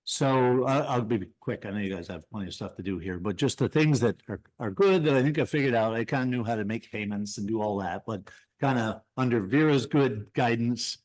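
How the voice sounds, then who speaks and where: A man of about sixty with a nasal voice delivers a brisk, modest report at a town meeting. He rattles through a list of duties as the treasurer. His pitch stays at a plain middle level. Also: rough recording. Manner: quick, modest, listing items.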